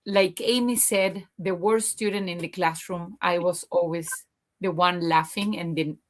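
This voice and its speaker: A woman guest in a casual conversation with a deep alto voice. She tells stories with animated energy. Her pitch sits lower than most women's. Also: unhurried. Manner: lively, animated storyteller. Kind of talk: casual chat.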